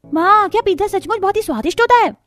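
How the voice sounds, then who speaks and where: A woman's voice: extremely high pitch, lively intonation, fast talker, a bit bright, faint music behind. A woman voicing an eager young village girl in a cartoon story, with childlike pleading. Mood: wistful. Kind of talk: Hindi cartoon story voice acting.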